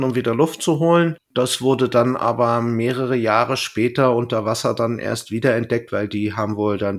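A man chatting casually as a podcast co-host. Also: mid-range voice.

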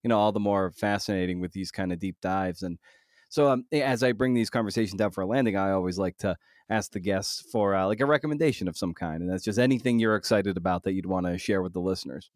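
A man hosting an interview podcast, talking casually and courteously, often pausing to rephrase his thoughts. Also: sits low, darkish tone, dry recording, clean studio sound.

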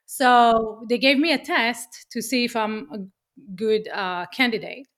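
A woman with an Israeli accent, a podcast guest talking business in a lively, emphatic way, often tagging lines with "right?". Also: fairly high pitch, a little slow. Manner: energetic, emphatic, keeps asking "right?", swears casually. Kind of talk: casual interview chat.

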